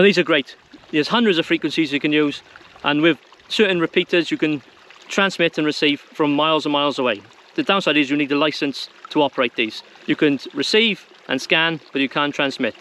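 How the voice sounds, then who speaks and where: A man's voice: on the high side, fairly bright tone. A man presenting an informal how-to video to viewers in a plain-spoken, conversational way. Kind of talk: informal instructional talk to viewers.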